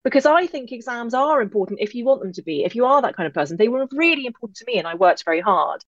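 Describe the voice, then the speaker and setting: An English woman speaking as an interview guest, reflective, articulate and measured in how she puts her thoughts together. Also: fairly high pitch, lively intonation, no room sound, dull audio. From England-born, grew up in Northern Ireland. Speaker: podcast guest, author.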